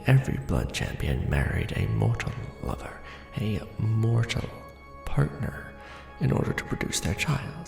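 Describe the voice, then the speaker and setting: A man playing a courtly, brooding vampire lord in an ASMR roleplay, speaking formally and deliberately in a hushed, breathy, gravelly voice. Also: fairly low pitch, exaggerated voice. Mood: courtly, explaining. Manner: formal, hushed, deliberate, theatrical aristocratic address. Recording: roomy echo under background music. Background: music.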